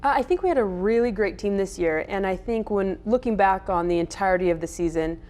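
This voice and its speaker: A woman coach giving measured, somewhat hesitant answers as an interview guest. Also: medium pitch, darkish tone.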